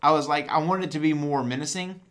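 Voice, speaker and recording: A young man chatting casually about a TV episode, excitable and slangy with lots of fillers. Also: on the high side, quick pace.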